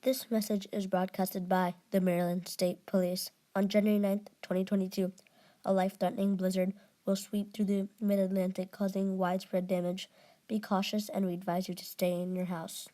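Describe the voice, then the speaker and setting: A woman giving mock emergency news announcements in a formal but halting, amateur way. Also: mid-range voice, flat delivery, dark tone.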